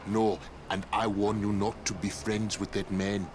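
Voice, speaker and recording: A man's voice: lowish voice, level tone, unhurried, background noise, close to an everyday voice. An actor playing a grave, protective middle-aged village father in a video game, blunt, distrustful and ominous. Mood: grave warning. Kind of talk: video game cutscene acting.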